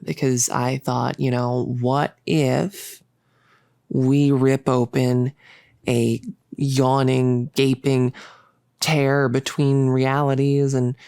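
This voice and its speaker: A performer playing an earnest, rambling cultist experimenter in a roleplay audio, with casual, halting, awkwardly optimistic delivery, close to the mic. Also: mid-range voice, creaky, mellow, clean tone, no echo. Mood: earnest, hopeful. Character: earnest, rambling, chirpy cultist scientist, gently bossy. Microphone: close, dry studio-style microphone.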